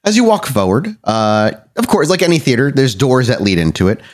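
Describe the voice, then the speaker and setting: A man's voice: medium pitch, sing-song, brisk. A man narrating a tabletop game as game master, descriptive and conversational, improvising as he goes.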